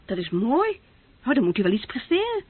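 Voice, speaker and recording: A candid young student, slightly husky. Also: female voice, on the high side, huge pitch swings, brisk, exaggerated voice. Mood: friendly, direct. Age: young adult. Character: candid, self-possessed nineteen-year-old female student. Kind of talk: radio drama dialogue. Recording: narrow-band old radio play recording, very dry.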